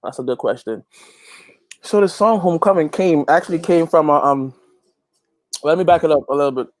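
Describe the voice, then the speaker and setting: A man's voice: a little high, a little fast, a little mellow. A man chatting casually as an interview guest, often repeating phrases for emphasis. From Guyana. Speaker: interview guest, gospel reggae singer and youth pastor.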